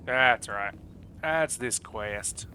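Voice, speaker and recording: A man's voice: mid-range voice, drawn-out words, sharp tone, a little hiss or hum. A man giving casual gameplay commentary, exclaiming and talking to himself and the game. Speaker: gamer recording a playthrough.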